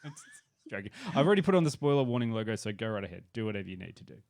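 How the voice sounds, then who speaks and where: A man's voice: fairly low pitch, sing-song, rapid-fire, creaky, a little mellow, slight background noise. A man co-hosting a podcast with casual, joking and mock-contrary banter. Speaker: podcast co-host.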